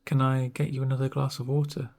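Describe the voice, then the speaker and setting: A man speaking softly and gently in an ASMR roleplay as a reassuring, unhurried doctor on a home visit. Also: mid-range voice, little pitch movement. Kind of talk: soft-spoken ASMR roleplay.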